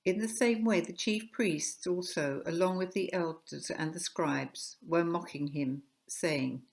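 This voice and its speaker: A woman's voice: on the low side, unhurried, creaky. A woman narrating scripture from a script, recorded at home.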